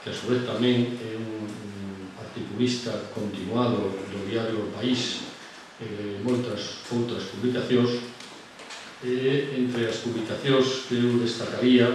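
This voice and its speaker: A man's voice: fairly low pitch, fairly even intonation, slow pace. A man formally introducing a guest speaker, hesitant and full of fillers. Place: lecture hall. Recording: echoey hall, distant mic, noisy.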